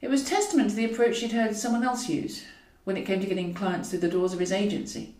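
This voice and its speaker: A woman's voice: mid-range voice, quick pace, darkish tone. A woman reads scripted fiction as a narrator, with a wry, wordy storytelling manner. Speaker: author reading own audiobook. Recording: roomy echo, distant from mic.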